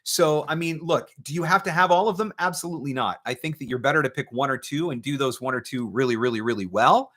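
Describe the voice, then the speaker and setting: A man's voice: medium pitch, quick pace, few pauses, fairly bright tone. A man hosting a casual question-and-answer stream as a coach, talking conversationally and stressing points by repeating phrases. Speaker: host, voice-over marketing coach.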